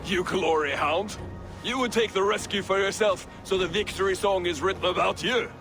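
An actor playing a blunt Viking crewmate in a video game cutscene, brisk and ribbing. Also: male voice, high voice, over music. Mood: teasing.

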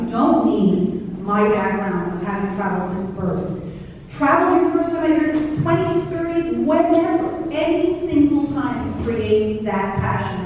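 A woman with a slightly high voice speaks enthusiastically as a travel writer on a panel. She sounds distant, with long echoing reverb from a large hall. The sound is dull, with a little hiss. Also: darkish tone. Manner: enthusiastic, storytelling. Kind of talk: panel discussion answer.

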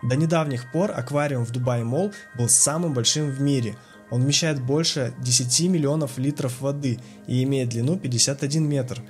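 A man reads scripted travel facts in an even, informative voice-over. Music plays clearly beneath his voice. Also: mid-range voice, normal speed. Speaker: voice-over narrator. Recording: music under the voice.

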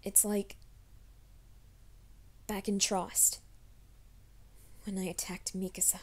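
ASMR roleplay: a woman playing a young werewolf man, hushed and reassuring, slow even delivery. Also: mid-range voice. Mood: soft reassuring. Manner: soft, hushed, often whispering. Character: gentle young male werewolf, nervous and reassuring.

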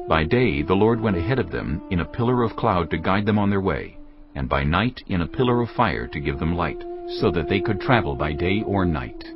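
A man narrating a dramatized Bible in a measured, grave way. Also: sits low. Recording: dull sound under loud music.

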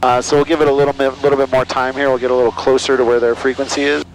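A man's voice: mid-range voice, flat delivery, very fast, rough recording. American man narrating over light cockpit noise. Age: middle-aged. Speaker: pilot and flight instructor. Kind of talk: casual commentary while flying. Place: small airplane cockpit in flight. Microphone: aviation headset boom mic. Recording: cockpit headset with engine noise.

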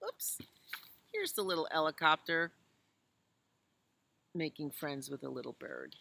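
Woman, 60s, American, children's storytime reader. Slow pacing, bright forward tone, chatty asides. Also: sits low, expressive, gravelly. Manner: simple, explanatory, asking questions of young listeners. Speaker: children's librarian reading storytime. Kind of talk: reading a picture book aloud to young children, with chatty asides. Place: outdoors in a leafy garden.

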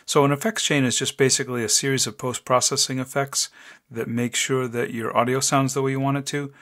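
A man with a deep voice, hosting a casual screencast tutorial and talking through each click step by step. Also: a bit bright. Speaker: software tutorial host. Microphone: desk microphone, voice-over of screen recording.

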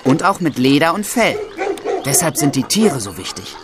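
Animated young squire. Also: male voice, fairly high pitch, normal speed, background noise. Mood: explaining. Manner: explanatory, enumerating. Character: eager, proud young medieval squire guiding visiting children. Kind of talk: children's audio drama acting.

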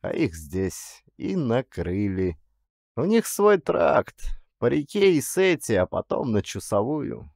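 A plain-spoken factory clerk put on by a male narrator explains matters in a folksy manner. His pitch moves up and down freely, in audiobook storytelling style. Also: sing-song, slow, vocal fry, darkish tone, no room sound, studio quality, heavily acted. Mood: explaining.

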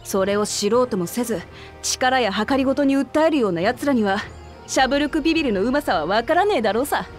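A woman playing a brash, hearty young female adventurer in a Japanese video game, with rough, boyish, blunt speech. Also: fairly high pitch, lively intonation, quick pace, natural voice. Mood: earnest, resolute. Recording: background music under the voice.

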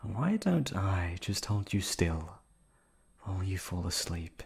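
Male actor doing a low, slow-talking yandere receptionist, silky courtesy over menace, close-mic whispers. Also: sing-song, vocal fry, background noise, heavily acted. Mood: sinister. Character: obsessive male yandere hotel receptionist kidnapper. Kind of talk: ASMR roleplay audio drama.

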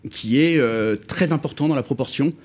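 Halting middle-aged man on a radio panel. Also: mid-range voice, darkish tone. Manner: halting, many repeated words and fillers. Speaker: housing project manager. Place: radio studio. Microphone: studio mic on stand.

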